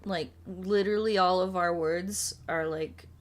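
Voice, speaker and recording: A sleepy American woman chatting casually as she streams a puzzle game, soft-spoken and relaxed, musing and answering viewers. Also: fairly low pitch, unhurried. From United States. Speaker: ASMR creator and live streamer. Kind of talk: casual chat while playing a puzzle game, reading and answering viewers' comments. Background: soft music.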